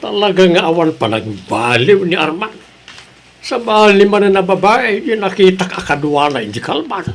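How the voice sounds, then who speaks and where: A man's voice: high-pitched, big pitch swings, moderate pace, soft background music, full character voice. A man playing an indignant, protective grandfather in an Ilocano radio drama.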